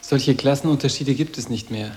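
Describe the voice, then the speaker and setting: A man's voice: medium pitch, normal speed, darkish tone, close to an everyday voice. A man playing a defiant, aloof teenage boarding school boy, terse and matter-of-fact, in a radio drama. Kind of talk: German literary radio drama. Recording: long hall reverb.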